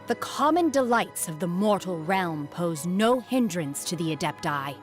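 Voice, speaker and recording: An aloof young female exorcist from a fantasy game, raised among immortals. Her voice sits fairly low for a woman, with stoic, measured, formal phrasing and a quiet earnestness. Music plays behind her. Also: sing-song. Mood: calm, reserved. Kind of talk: video game character voice lines.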